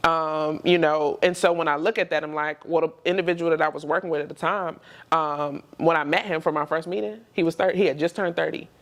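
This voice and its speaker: A woman's voice: sits low, steady tone, fairly quick. A woman in her thirties, a podcast guest and entrepreneur, talking through her experiences in a reflective, conversational way. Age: 30s. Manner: reflective, conversational, frequent "you know what I'm saying". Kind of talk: casual interview conversation.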